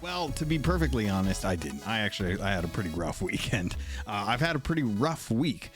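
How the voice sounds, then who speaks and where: A man hosting a podcast in a casual, rambling way, hoarse from a cold and often correcting himself. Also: fairly low pitch, big pitch swings, fairly quick, faint music behind. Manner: rambling, self-correcting, thankful. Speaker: podcast host.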